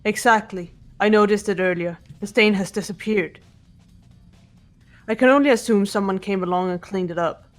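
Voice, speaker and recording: Stoic teenage fighter girl for a visual novel game, played by a woman; measured, formal, faint music behind. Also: medium pitch, lightly acted. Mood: calm, serious. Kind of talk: fan dub of visual novel game dialogue.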